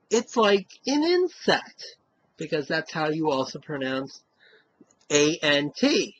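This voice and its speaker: A man's voice: fairly high pitch, fairly bright tone, slightly rough, muffled recording. A man with a Western Massachusetts accent, talking casually to camera in a rambling way, often tacking on "you know?". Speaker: vlogger.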